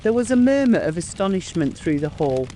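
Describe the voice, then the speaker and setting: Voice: mid-range voice, big pitch swings, a little fast, mellow, slight background noise. A narrator reading a scripted audiobook story.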